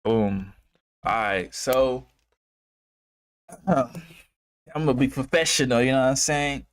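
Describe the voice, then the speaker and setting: Young man, mid-pitched voice, casual streamer monologue, halting delivery, repeats himself, wanders and circles back. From Uganda, moved to America as a child. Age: 20s. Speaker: trading streamer and host.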